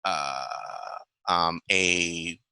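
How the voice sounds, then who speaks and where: A man improvising narration as a tabletop game master, halting and often correcting himself. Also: low voice, pushed and bright, clear, resonant tone, no echo, clean studio sound.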